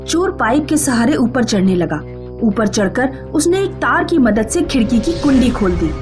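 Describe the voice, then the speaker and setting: A woman's voice: a little high, sing-song, normal speed. A woman narrating a scripted horror story in a dramatic style. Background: background music.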